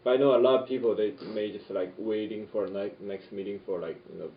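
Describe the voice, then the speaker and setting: A man's voice: fairly low pitch, steady tone, fast, a little hiss or hum. A teenage man from China with a Chinese accent, speaking hesitantly and searching for words. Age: teens. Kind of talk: casual interview answer. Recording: distant, dull, echoey room.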